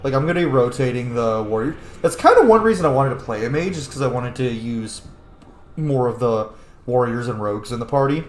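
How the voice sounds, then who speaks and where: A man's voice: medium pitch, expressive, brisk. Echoey, blunt male gamer commentating. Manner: blunt, profane. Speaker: gamer hosting a playthrough. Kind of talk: casual gaming commentary. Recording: roomy echo, faint noise.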